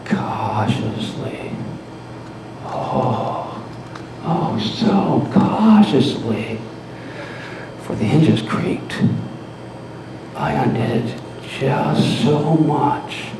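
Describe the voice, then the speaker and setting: Mad murderer narrator, gloating and defensive; extremely slow, dramatic delivery in a roomy space. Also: male voice, medium pitch. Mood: tense, hushed. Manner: dramatic pauses, hushed whispers swelling into manic cries. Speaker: storyteller. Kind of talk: theatrical live story reading. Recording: roomy echo, clear background noise.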